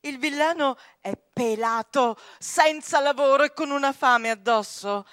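An actress playing a bossy, scornful mistress who recruits a servant in a theatrical radio drama, commanding and mocking. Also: female voice, high voice, a bit bright, no room sound, clean studio sound. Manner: commanding, mocking, deliberate delivery.

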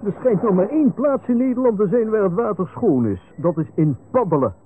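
A fussy, hesitant older Dutch professor in a radio serial. The voice is high and swoops about, heard through a tinny, noisy old recording. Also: male voice, lively intonation, brisk. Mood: mildly knowing.

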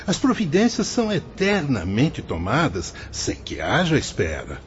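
A man acting as a wise, fatherly spirit guide in a radio drama, patient and solemn, explaining in formal, measured phrases. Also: mid-range voice, huge pitch swings, a little hiss or hum. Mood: gently correcting. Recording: dull lo-fi audio with music behind.

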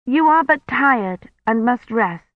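A woman's voice: a little high, animated, takes it slowly, no room sound, phone-quality sound, voice pushed up. Narrator playing an anxious, insistent woman, raised voice. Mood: anxious, coaxing. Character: anxious, urgent young woman caring for a priest.

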